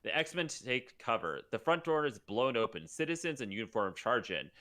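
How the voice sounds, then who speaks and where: A man's voice: mid-range voice, very animated, moderate pace, bright, forceful tone. A man co-hosting a live comedic table read, reading stage directions aloud and stopping to joke. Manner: reads stage directions aloud, breaking off for jokes. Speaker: podcast co-host.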